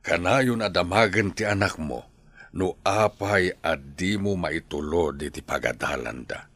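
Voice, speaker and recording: Man playing a very deep, husky old patriarch. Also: a little slow, a bit bright, heavily acted. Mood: admonishing. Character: old grandfather, patient, admonishing family patriarch. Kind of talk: radio drama acting.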